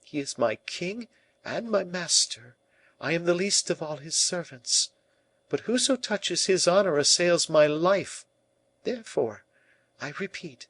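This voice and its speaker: A man's voice: a little high, a bit bright, no room sound, pitched above the performer's own voice. A man voicing an earnest, devoted young noblewoman of a royal court, pleading in formal speech for an audiobook. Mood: devoted, impassioned.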